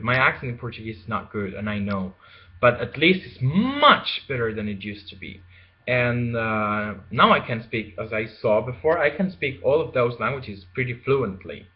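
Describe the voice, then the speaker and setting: Slow, hesitant young man on lo-fi audio. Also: medium pitch. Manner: hesitant, many fillers and restarts, slow. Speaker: language learner vlogger. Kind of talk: casual unscripted monologue. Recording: dull lo-fi home video audio.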